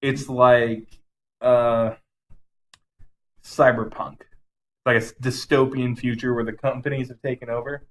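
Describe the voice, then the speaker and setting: A man's voice: medium pitch, little pitch movement, measured pace, long pauses. A man co-hosting a podcast, casually and enthusiastically rambling through his favorite game movies with some swearing. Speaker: podcast co-host.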